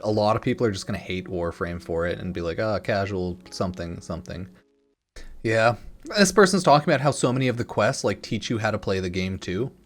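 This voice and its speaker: A man giving casual, rambling opinion commentary as a gaming content creator, informal and sweary, sometimes reading comments aloud. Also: lowish voice. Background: music in the background.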